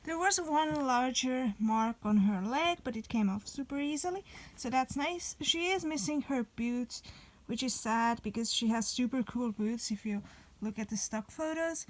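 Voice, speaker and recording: A woman's voice: on the high side, animated, moderate pace, poor audio. A young woman chatting casually to camera about a doll she got, rambling and loose. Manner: chatty, rambling with fillers and restarts. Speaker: vlogger. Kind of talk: casual doll show-and-tell.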